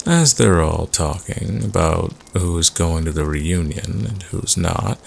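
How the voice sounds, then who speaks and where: A man acting as a laconic storyteller at a campfire, deep and gravelly, telling an eerie tale in an understated way. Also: a little hiss or hum, full character voice. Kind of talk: audio roleplay campfire storytelling.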